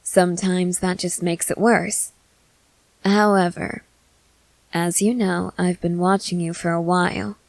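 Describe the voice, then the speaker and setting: A woman voicing a protective, controlling century-old female vampire in measured, formal phrases for an ASMR roleplay. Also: fairly low pitch, little pitch movement, creaky, dry recording, low-quality recording. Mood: coolly coaxing. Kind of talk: scripted ASMR audio roleplay.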